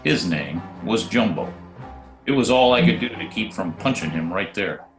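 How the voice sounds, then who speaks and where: An actor playing a wisecracking, sardonic private detective who also narrates, in a 1940s-style radio drama. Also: male voice, on the low side, lively intonation, normal speed, natural voice. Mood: matter-of-fact. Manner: dry, sardonic. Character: wisecracking hard-boiled private detective, narrating his case. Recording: roomy remote home recording, faint music.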